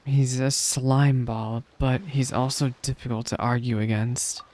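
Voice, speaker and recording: Casual male game streamer, mid-pitched. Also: fairly even intonation, unhurried, darkish tone. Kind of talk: casual reaction commentary.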